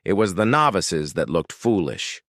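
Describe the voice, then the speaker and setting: A man narrating a folktale as a storyteller, expressive and dramatic, with deliberate emphasis. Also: low voice, no echo, clean studio sound.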